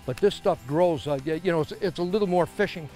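Male angler host, middle-aged, American, fairly high register, casual outdoor commentary from a lake boat. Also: a little fast, warm tone, soft background music. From Minnesota, United States. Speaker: fishing show host. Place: on an open fishing boat on a lake.

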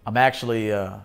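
A man's voice: on the low side, gravelly, clear, resonant tone. A man in his thirties hosting a live stream from a small indoor studio, casually reading and answering viewer comments. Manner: laid-back, shouting out viewers by name. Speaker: host.

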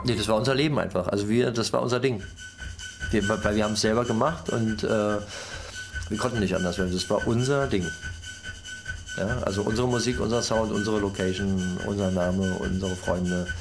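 A man's voice: on the low side, moderate pace. A man giving a spoken recollection as an interviewee in a documentary. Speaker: techno scene veteran interviewee. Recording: music under the voice.

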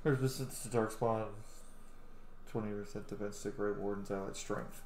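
A man's voice: on the low side, steady tone, moderate pace, a little mellow, slight background noise. A man in his thirties giving casual commentary as he plays through a roleplaying game. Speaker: gaming streamer roleplaying a playthrough. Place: room at home, indoors. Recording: roomy, game music faintly behind.

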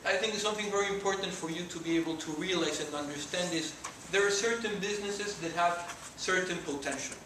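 A man's voice: high voice, fast, hardly any pauses. Mexican-accented man in an echoey lecture room. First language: Spanish. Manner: earnest, gesturing while speaking. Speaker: guest speaker. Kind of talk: motivational talk to students. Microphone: far camera. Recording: echoey room from far off, noisy.

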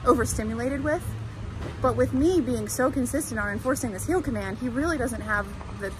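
A woman's voice: a little high, a little fast. Woman dog trainer talking while walking outside. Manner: clear, explanatory, conversational teaching. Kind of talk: instructional walk-and-talk. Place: outdoors by a creek in a town center. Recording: outdoor street noise.

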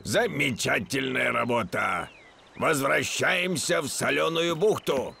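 A man voicing a genial dog skipper, a jolly uncle, in an unhurried, kindly cartoon manner. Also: medium pitch, creaky, pushed and bright, faint music behind, exaggerated voice. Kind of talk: animated cartoon dub.

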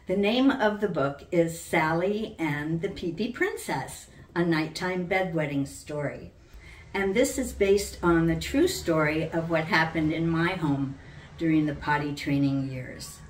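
An older woman, around her sixties, reading a children's book aloud as its author with deliberate, dramatic storytelling. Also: low-pitched, a little hiss or hum. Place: living room at home, indoors. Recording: roomy, distant from the mic.

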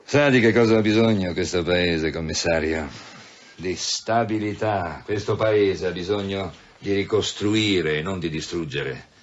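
Deep-voiced, condescending police chief. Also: male voice. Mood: pompous, persuasive. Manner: mock-polite, pompous, deliberate phrasing. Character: smooth-talking, condescending, veiledly menacing senior male police official. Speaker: actor. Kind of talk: Italian radio drama acting. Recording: dull, muffled radio drama recording.

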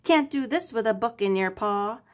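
A woman's voice: fairly high pitch, lively intonation, normal speed, natural voice. Woman as a taunting pirate rabbit crewman. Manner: curt, jeering pirate talk. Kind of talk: storybook character voice.

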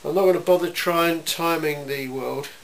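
A man giving an informal, matter-of-fact hands-on demonstration in a workshop, speaking in a measured way. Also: a little high, creaky. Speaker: welding demonstrator. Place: indoor cluttered workshop. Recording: hissy, noisy amateur camera sound.

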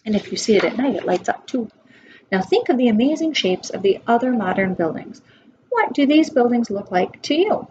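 An American woman reads a children's nonfiction book aloud in the instructive way of a preschool teacher. Her voice sits at a middle pitch. The recording is a dull webcam capture in a room, with a little noise behind her. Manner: instructive, asks listeners questions.

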